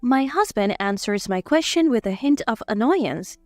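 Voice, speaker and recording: High, lively woman storyteller. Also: moderate pace, no room sound, soft background music. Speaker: narrator. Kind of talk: first-person storybook narration.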